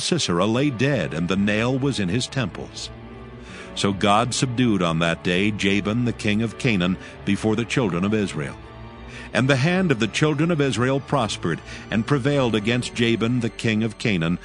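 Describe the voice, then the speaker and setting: A man serves as narrator of a dramatized scripture. His lowish voice tells the archaic text in a solemn, measured way. Soft music sits beneath him. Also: lively intonation.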